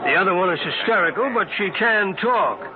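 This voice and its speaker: An actor playing a matter-of-fact, unsentimental American police lieutenant in an old-time radio drama. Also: male voice, high voice, lively intonation, a little fast, a crowd in the background. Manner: blunt, unsentimental. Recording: tinny old radio recording, crowded background.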